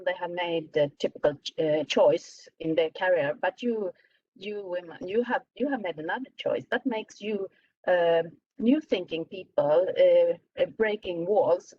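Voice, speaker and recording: A Swedish woman's low voice comes through a dull, dry video-call line. She speaks English carefully as her second language, halting and filling gaps with "uh", like a seasoned executive on a panel. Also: darkish tone, no echo. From Sweden. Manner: halting, searching for words with many "uh"s. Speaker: panelist, company CEO. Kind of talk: panel discussion.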